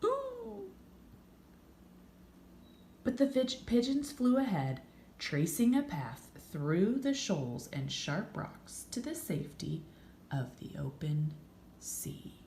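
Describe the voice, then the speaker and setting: A woman reading a children's story aloud with expressive, dramatic narration and drawn-out emphasis. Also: medium pitch. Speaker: storybook reader. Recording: echoey room, faint background noise.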